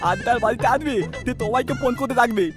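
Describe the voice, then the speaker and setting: A woman's voice: a little high, fast, music in the background, close to an everyday voice. A woman voicing an agitated, complaining young village son-in-law in cartoon dialogue. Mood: eager.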